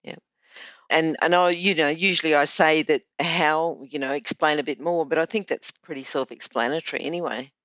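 A woman's voice: low-pitched, steady tone, moderate pace, a bit bright, dry recording. A woman hosting an interview, asking questions in a hesitant way and rephrasing them as she goes. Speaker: interviewer, host.

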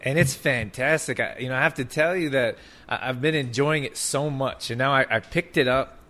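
A man's voice: mid-range voice, normal speed, sharp tone. A male podcast host with a mid-range voice, enthusiastic about his guest. He talks casually, often restarting his sentences. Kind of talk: casual interview.

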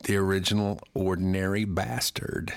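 A man's voice: deep voice, flat delivery, moderate pace, creaky, no echo, clean studio sound. A male podcast co-host and singer getting over a cold, joking crudely in a rambling, casual way.

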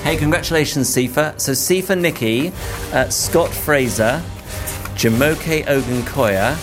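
A man's voice: medium pitch, unhurried, music in the background. A man hosting a show, announcing results cheerfully. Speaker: show host.

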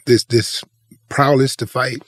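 A man's voice: mid-range voice, steady tone, unhurried, dry recording. A man interviewing a guest in a casual, slangy, conversational way. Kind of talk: casual chat, slangy questioning.

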